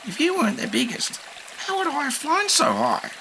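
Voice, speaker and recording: A man voicing a small, excitable feathered girl dinosaur in a squeaky, high voice. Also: moderate pace, gravelly, a little hiss or hum, heavily acted. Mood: chirpy.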